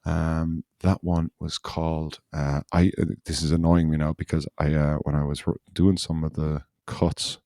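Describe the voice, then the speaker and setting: A man's voice: rumbling low, flat delivery, moderate pace, few pauses, mellow, no echo, studio quality. A man hosting a podcast, telling a loose, rambling story in casual chat. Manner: rambling, loose storytelling that circles back on itself. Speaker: podcast host.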